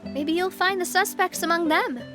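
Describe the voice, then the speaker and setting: A woman's voice: extremely high pitch, moderate pace. An actress playing a confident, savvy young woman who leads an underworld society in a video game. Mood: confident, knowing. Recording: background music under the dialogue.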